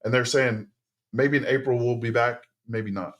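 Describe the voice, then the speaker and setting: A middle-aged man whose first tongue is Tongan speaks at an ordinary mid pitch. He talks like a relaxed podcast guest who circles back and restarts sentences. The tone stays easy and conversational. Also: moderate pace. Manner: relaxed, rambling, many fillers and restarts. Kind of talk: casual interview storytelling.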